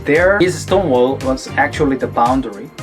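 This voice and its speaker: A man's voice: mid-range voice, lively intonation, moderate pace. A man from South Korea with a Korean accent, a native Korean speaker, gives casual explanatory commentary as a video host, restarting his sentences often. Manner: explanatory, with frequent restarts and filler words. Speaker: language teacher and video host. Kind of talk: casual commentary over film clips. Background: background music.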